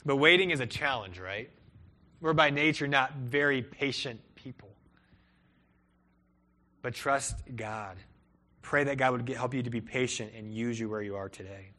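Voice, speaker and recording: Young male pastor, earnest sermon delivery, voice climbs and drops to stress points, mid-range pitch. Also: normal speed, pauses often, pushed and bright. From Peru and Cleveland, Ohio. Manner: earnest, rises and falls for emphasis.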